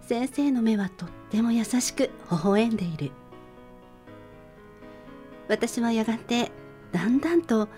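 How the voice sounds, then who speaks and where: A woman playing a weary office worker who narrates memories of her schooldays softly and wistfully in a radio drama. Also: fairly high pitch.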